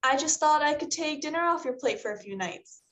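High chirpy voice, woman acting a super perky neighbor, thirties, over-friendly, sitcom script read. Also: lively intonation, brisk, a bit bright. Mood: eager, friendly. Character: super perky, eager-to-please thirty-four-year-old suburban neighbor woman. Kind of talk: comedy script table read. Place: home room on a video call.

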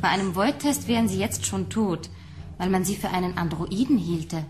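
A woman's voice: mid-range voice, normal speed, background noise, lightly acted. A woman playing a detached, melancholy female android in a German radio drama.

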